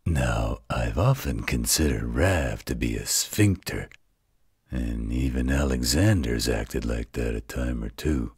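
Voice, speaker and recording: A gruff demon dad in a fantasy audio drama. The voice is extremely low and very husky, rolling out slowly. He sounds protective and reassuring. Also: a little mellow, no room sound, studio quality, heavily acted. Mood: puzzled, wry. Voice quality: very deep, gravelly husky voice. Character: gruff, protective male demon father in a fantasy werewolf tale. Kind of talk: fantasy audio roleplay acting.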